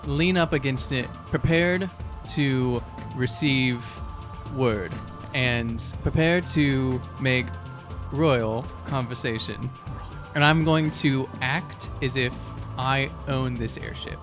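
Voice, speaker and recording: A man playing a tabletop role-playing game, joking casually in a halting way with stammered repeats. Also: medium pitch, music in the background. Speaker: player in tabletop game podcast.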